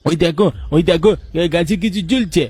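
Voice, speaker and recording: A man voicing a frightened village man, alarmed and panicky, in an animated horror story. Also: a little high, normal speed, slightly rough, no echo, voice pushed up. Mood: panicked. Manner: alarmed, fearful.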